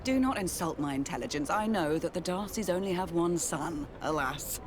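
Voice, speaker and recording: A woman's voice: on the low side, lively intonation, normal speed, close to an everyday voice. An actress playing a guarded, dismissive businesswoman merchant in a video game. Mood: irritated, dismissive. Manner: dry, dismissive. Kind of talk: dramatic video game dialogue. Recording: clear outdoor ambient noise behind.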